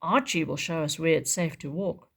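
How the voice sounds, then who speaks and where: A woman voicing a small, gentle and plucky boy dinosaur in a picture-book reading, with a sweet, eager voice. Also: deep voice, moderate pace, vocal fry, breathy edge, full character voice. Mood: earnest.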